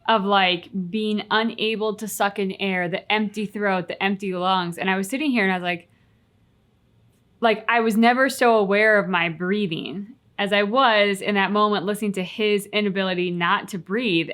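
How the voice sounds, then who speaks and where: A woman's voice: mid-range voice, normal speed, a bit bright. A woman co-hosting a casual reaction chat, talking reflectively and with emphasis on key words. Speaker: reaction channel co-host.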